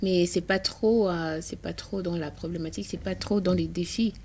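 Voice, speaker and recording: A woman hosting a radio interview who asks probing questions and keeps up steady short murmurs of agreement. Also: lowish voice, normal speed, breathy edge. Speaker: radio host.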